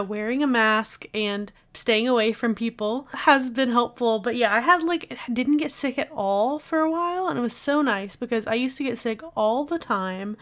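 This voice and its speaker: A woman's voice: a little high, a bit bright. A young woman chatting casually over a game speed build, rambling with lots of filler words, her voice still recovering after she lost it. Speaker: video game builder and streamer.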